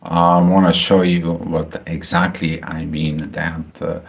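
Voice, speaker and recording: A man with a Bulgarian accent talking casually about astrology on a livestream, halting with many filler sounds and restarts. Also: deep voice, flat delivery, gravelly. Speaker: astrology channel host. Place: home, house in Spain.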